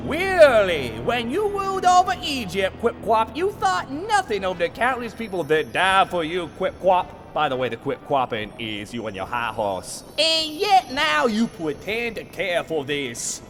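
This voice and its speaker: A man's voice: high voice, big pitch swings, measured pace, hardly any pauses, fairly bright tone, soft background music, exaggerated voice. A man voicing an ancient villain king who talks like a laid-back dude, drawling and smug, in an abridged cartoon parody. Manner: drawling, smug, calls people bro and man.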